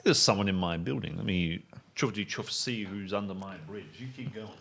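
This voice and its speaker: A man's voice: lowish voice, a little fast, gravelly, fairly bright tone. A man leading a casual game show chat as game master, jokey and playful. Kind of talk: casual banter.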